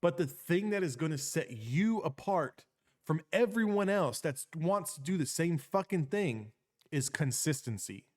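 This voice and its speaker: A man's voice: fairly high pitch, expressive, unhurried, a little mellow. A man giving an unscripted motivational monologue. He talks emphatically, repeats phrases for effect, tags lines with "okay?" and swears casually. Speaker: motivational speaker.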